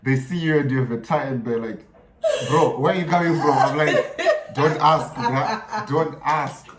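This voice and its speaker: A man's voice: fairly high pitch, measured pace, heavy background noise. Laughing young man from Zimbabwe. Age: young adult. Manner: laughs often, animated storytelling with restarts. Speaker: student guest. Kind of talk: casual interview chat. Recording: echoey room.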